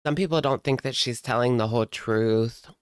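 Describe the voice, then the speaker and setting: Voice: medium pitch, normal speed, smooth, dry recording, clean studio sound. A streamer giving casual live commentary in a blunt, sarcastic, conversational way. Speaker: reaction streamer.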